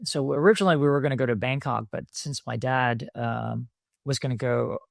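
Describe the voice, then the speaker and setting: A man in his fifties talks through his memories as a podcast guest. His voice has a gravelly, fried texture and a mid pitch, and he pauses on fillers as he thinks. The sound is dry and close. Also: dry recording. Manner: reflective storytelling with many fillers. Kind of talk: conversational interview answers.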